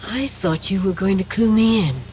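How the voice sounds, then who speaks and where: Self-possessed young American woman, medium pitch actress playing. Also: normal speed, close to an everyday voice. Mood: assertive. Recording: dull old radio broadcast with faint noise.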